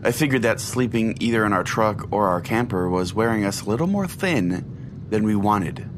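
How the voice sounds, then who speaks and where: Male podcast storyteller, lowish voice, very animated, mock-solemn comic narration, music behind. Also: moderate pace, background noise. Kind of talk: comic first-person scripted narration.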